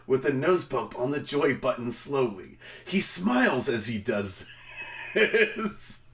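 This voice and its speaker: A man giving a dramatic reading on a stream, theatrical in delivery, swinging between emphatic outbursts and hushed, drawn-out phrases. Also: a little high, far from the mic. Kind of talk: dramatic reading of a written review.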